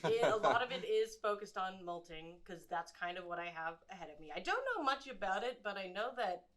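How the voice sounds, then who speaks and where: A woman's voice: mid-range voice, lively intonation, brisk, a bit bright. A woman player speaking in character in a tabletop game, dry and self-assured.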